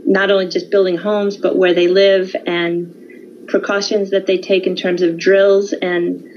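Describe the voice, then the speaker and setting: Woman, mid pitch, measured and informative guest, lo-fi call audio with faint hiss. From California, United States. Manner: measured, informative, with frequent um and uh pauses. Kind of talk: conversational interview.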